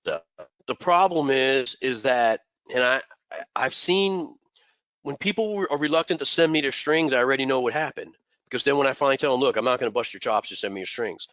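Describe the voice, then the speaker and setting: Man, congested, bright voice, dry sound, rambling casual teaching, desk mic. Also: medium pitch, animated, fairly quick, dry recording, muffled recording. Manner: rambling, repeats words. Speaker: trading mentor. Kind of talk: casual live teaching session. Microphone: desk microphone.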